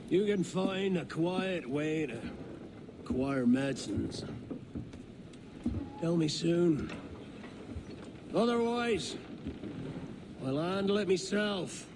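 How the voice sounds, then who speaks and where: An actor playing a theatrical, menacing pirate captain in a video game cutscene, given to drawn-out phrases and dark whispers. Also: male voice, a little high, expressive. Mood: stern. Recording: noisy game ambience behind the voice.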